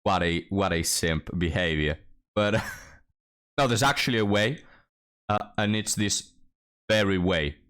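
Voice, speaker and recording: A man's voice: low-pitched, a little slow, pauses often, fairly bright tone, clear, resonant tone. A man hosting a reaction channel, commenting on a clip with sarcastic, mocking asides to his viewers. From Europe.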